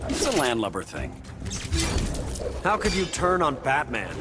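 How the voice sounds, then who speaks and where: An actor playing an easygoing, wisecracking hero in video game banter, with a glib and casual manner. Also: male voice, medium pitch, huge pitch swings, unhurried, loud music behind the voice. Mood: joking.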